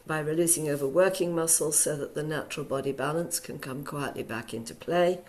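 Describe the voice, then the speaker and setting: A middle-aged woman with a croaky, hoarse voice from a cold, teaching an online workshop over a video call in a chatty way full of ums. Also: deep voice, a little mellow. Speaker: Alexander Technique teacher.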